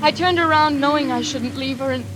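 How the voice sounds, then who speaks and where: An actress playing an anxious, trapped daughter in a 1940s suspense radio drama, tense and dramatic, halting when flustered. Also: female voice, high voice, close to an everyday voice, rough recording. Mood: fearful. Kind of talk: 1940s radio acting, first-person suspense narration. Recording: vintage radio drama with music behind.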